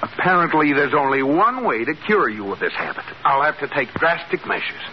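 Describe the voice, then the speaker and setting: An American actor playing an earnest, exasperated husband who scolds emphatically in a 1940s radio sitcom. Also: male voice, a little high, big pitch swings, brisk. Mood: exasperated scolding. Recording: muffled old radio, faint hiss.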